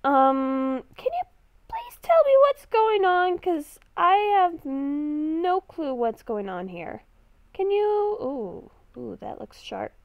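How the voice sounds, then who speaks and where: A woman improvising in-character commentary for a game roleplay, speaking hesitantly and haltingly, with sarcastic asides. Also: sits high, big pitch swings, dry recording, phone-quality sound. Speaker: gamer playing a roleplay series.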